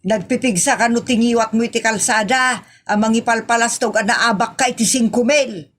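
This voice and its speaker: A woman's voice: fairly high pitch, normal speed, slight background noise, close to an everyday voice. A woman playing a scornful wife who nags and chides her bragging husband in a comedy audio drama. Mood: scolding.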